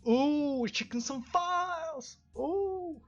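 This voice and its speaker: A game streamer reading a visual novel aloud in a casual let's-play style, with excitable, self-mocking asides. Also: sits high, slow pace, muffled recording.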